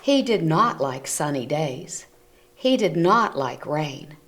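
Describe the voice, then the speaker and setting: A woman reading a picture book aloud to children, gently explaining the pictures as she goes. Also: low voice, big pitch swings, takes it slowly. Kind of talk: read-aloud storytelling for children. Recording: community TV recording.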